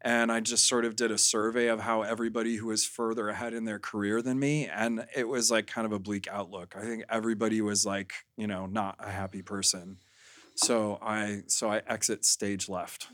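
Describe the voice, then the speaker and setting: A man speaking as a podcast guest in casual, anecdotal chat, with an emphatic, animated storytelling manner. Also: fairly low pitch, normal speed, no room sound, studio quality.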